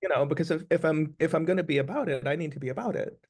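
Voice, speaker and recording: A man's voice: a little high, racing, darkish tone, slightly rough. An American man, an actor being interviewed, talking reflectively and restating his points with emphasis. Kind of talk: conversational interview.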